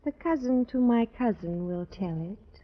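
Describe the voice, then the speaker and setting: A gentle, wide-eyed young maiden with a high voice and unhurried delivery. She speaks in archaic phrases, as in a radio play of long ago. The sound is slightly dull and aged. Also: expressive. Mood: earnest. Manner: stylized archaic phrasing, deliberate. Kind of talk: old-time radio drama acting. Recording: dull old radio recording.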